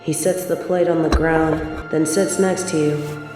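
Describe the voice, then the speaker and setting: A woman narrating a scripted second-person story in a deliberate storytelling manner. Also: sits low, fairly even intonation. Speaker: narrator and voice actor. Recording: very echoey, long reverb, music behind. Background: background music.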